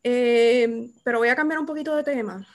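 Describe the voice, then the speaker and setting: A young adult woman hosting a casual chat, lively and quick to laugh. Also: on the high side. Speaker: host. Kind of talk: casual conversation.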